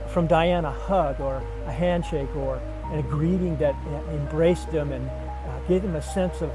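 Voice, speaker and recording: An earnest man with a fairly high-pitched voice gives a personal testimony in a documentary style. He speaks in measured phrases with deliberate pauses, and music plays faintly behind him. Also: poor audio. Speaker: missionary couple member seeking support. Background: background music.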